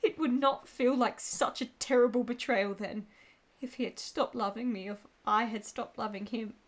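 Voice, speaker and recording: A woman's voice: fairly high pitch, fairly even intonation, normal speed, natural voice. An actress playing a passionate, guilt-ridden married woman in a period radio drama, with theatrical emotion and formal phrasing. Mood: torn.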